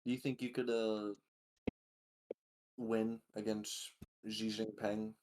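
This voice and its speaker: A man's voice: on the low side, level tone, takes it slowly, a little mellow, rough recording. A man in his early twenties co-hosting a podcast, chatting bluntly and swearing casually. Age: early 20s. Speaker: podcast co-host. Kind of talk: casual chat.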